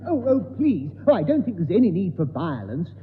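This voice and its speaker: A man's voice: high-pitched, big pitch swings, a little fast, a little hiss or hum. A man playing a mild, indulgent peacemaker in a 1960s radio sitcom, polite and soothing. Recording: tinny, echoey old radio broadcast.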